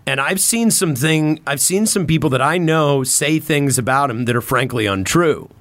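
A man's voice: on the high side, expressive, moderate pace, fairly bright tone, no echo. A man talking casually on a podcast as a host, opinionated and emphatic in how he makes his points.

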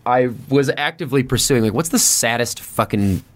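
A man of medium pitch talks as a guest in a loose, casual conversation. He tells his stories bluntly, with plenty of slang and swearing. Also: normal speed, a little hiss or hum. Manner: blunt, slangy, heavily profane. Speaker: podcast guest. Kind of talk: casual storytelling and chat.

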